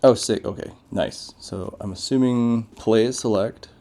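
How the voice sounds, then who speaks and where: A man's voice: fairly low pitch, a little slow, mellow. A man giving casual, off-the-cuff unboxing commentary as a tech reviewer, laid-back and informal with plenty of slang.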